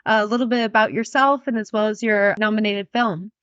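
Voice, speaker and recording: A woman's voice: mid-range voice, fairly even intonation, a little fast, fairly bright tone, clear, resonant tone, no echo, highs cut off. A woman hosting a film festival podcast, reading a scripted intro and then chatting casually with a guest. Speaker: podcast host.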